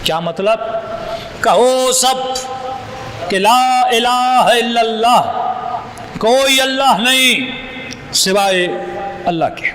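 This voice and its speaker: A man preaches with passion in a high, bright voice. He fires off rhetorical questions and acts out both sides of a quarrel. His voice rings in a long hall reverb over faint noise. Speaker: Shia cleric. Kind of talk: sermon.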